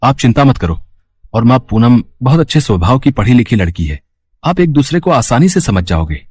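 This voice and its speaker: A man voicing an earnest young married son with a husky voice, placating and persuasive, in a cartoon moral story. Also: medium pitch, very animated, brisk, a little mellow, close to an everyday voice. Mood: apologetic.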